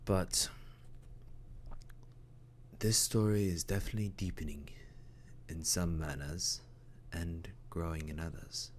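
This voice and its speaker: A man's voice: low voice, steady tone, slow, long pauses, a little hiss or hum. A man voicing a formal, droll storyteller host who narrates an audio drama in a theatrical, dry way. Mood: apologetic, droll. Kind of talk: scripted narration.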